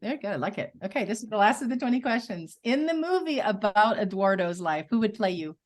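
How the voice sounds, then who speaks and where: A woman interviewer who talks quickly and keeps the conversation light and chatty. She fires off one question after another in an upbeat manner. Her voice sits at an ordinary middle pitch. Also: very animated.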